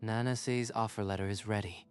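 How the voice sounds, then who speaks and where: A man's voice: on the low side, fairly even intonation, normal speed, faint music behind, lightly acted. A man voicing a cold, calculating male company owner who speaks in short, impersonal lines. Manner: clipped, impersonal.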